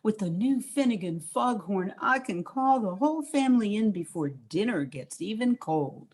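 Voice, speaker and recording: A woman's voice: mid-range voice, lively intonation, moderate pace, darkish tone, lightly acted. A woman playing a cheerful housewife and mother giving an earnest, exaggerated testimonial in an old-time radio commercial. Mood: eager, cheerful.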